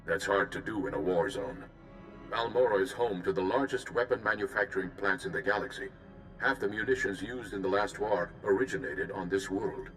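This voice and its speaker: An actor voicing a calm, principled Jedi mission leader in a video game, with a formal, courteous manner. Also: male voice, deep voice, fairly quick, faint music behind, rough recording. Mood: courteous, grave.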